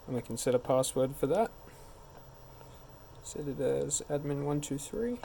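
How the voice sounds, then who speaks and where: A man's voice: on the high side, lively intonation, unhurried, dark tone. A man narrating a hands-on technical tutorial in an informal, step-by-step way. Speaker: tech support instructor. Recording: clear background noise.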